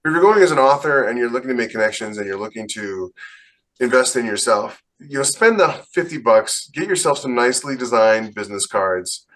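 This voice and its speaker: A man's voice: mid-range voice, lively intonation, a little fast, fairly bright tone. Plain-spoken man with a mid-range voice. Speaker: author and podcast co-host. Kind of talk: casual advice-giving chat.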